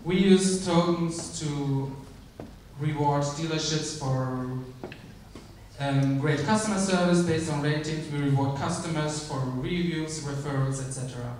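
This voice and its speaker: A man giving a startup pitch on stage, measured, with frequent ums and restarts. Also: darkish tone, slight background noise. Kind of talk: conference pitch. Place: large theater stage. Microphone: handheld mic, filmed from the audience. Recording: echoey hall from far off.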